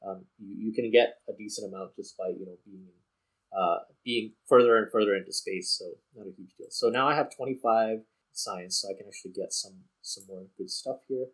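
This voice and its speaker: A man with a fairly low, husky voice hosts a gaming video. He talks casually over his own play, rambling and thinking aloud as he works through each choice. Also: fairly quick, rough recording. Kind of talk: casual let's play commentary.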